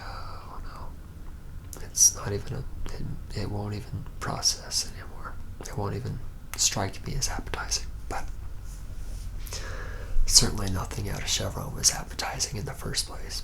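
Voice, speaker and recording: A young man playing a reluctant, awkward young vampire in an ASMR roleplay, murmuring haltingly and often close to a whisper. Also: fairly low pitch, fairly even intonation, creaky, a bit bright, rough recording. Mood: hesitant, uneasy recollection. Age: young adult.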